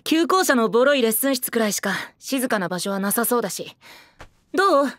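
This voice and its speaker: A man's voice: very high voice, expressive, a little fast, fairly bright tone, no room sound. A man playing a bitter, self-deprecating teenage boy idol and former child star in a Japanese audio drama. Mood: flippant, sulky. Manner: cheeky, self-deprecating, theatrical.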